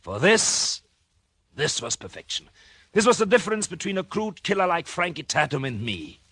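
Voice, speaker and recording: An American actor playing a calculating, murderous doctor in an intense, deliberate first-person narration for a 1940s radio suspense drama. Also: male voice, fairly high pitch, very animated, fairly bright tone, husky, dry recording, studio quality, exaggerated voice. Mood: smug, gloating. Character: calculating murderous husband, a doctor, smug then horrified. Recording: 1940s radio recording with music behind.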